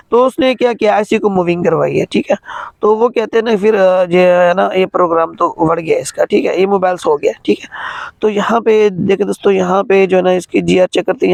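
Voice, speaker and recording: A man with a high-pitched, crackly voice walks listeners through a hands-on repair job. His delivery is informal and halting, and he keeps checking that people follow. The sound is dry and close, with very little room to it. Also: vocal fry, a little mellow, no echo. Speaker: phone repair tutorial host. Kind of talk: step-by-step hands-on repair tutorial.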